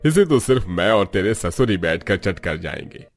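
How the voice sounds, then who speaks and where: A man voicing an older father-in-law in a cartoon family drama, expressive and familiar. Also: sits low, moderate pace, faint music behind, exaggerated voice. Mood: surprised.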